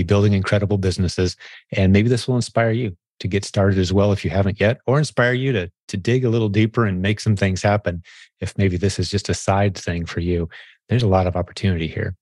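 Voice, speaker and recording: Man, podcast host, lowish pitch, dry sound, conversational, encouraging and affirming. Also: a little mellow, dry recording.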